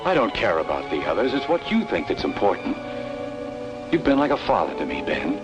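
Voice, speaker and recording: Noir radio lead: wronged, obsessive younger male, low pitch, intense questioning manner, faint music behind. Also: huge pitch swings, a little fast, muffled recording. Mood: wounded, confessional. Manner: insistent, interrogating, intense. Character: wronged, obsessive younger man confronting his betrayer. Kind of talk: old-time radio noir drama acting.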